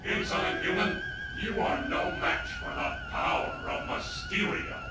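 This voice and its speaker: A man's voice: very high-pitched, monotone, unhurried, heavy background noise. A man playing a bombastic alien-conqueror supervillain in a video game, making grandiose, theatrical proclamations through an echoing, processed voice. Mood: haughty. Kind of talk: video game villain acting. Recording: heavy echo, distant, over loud music.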